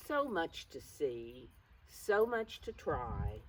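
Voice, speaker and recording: An older woman reading aloud to young children, pausing to explain things in simple words. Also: sits low, big pitch swings. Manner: addresses children simply, calls self by name in third person. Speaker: preschool storytime reader. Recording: distant mic, faint background noise.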